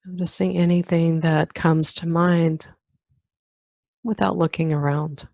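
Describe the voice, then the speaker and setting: A woman leading a guided meditation in a calm, unhurried manner, leaving long pauses between phrases. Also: sits low, vocal fry, no room sound. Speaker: meditation guide, yoga nidra teacher. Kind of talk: guided meditation, body scan.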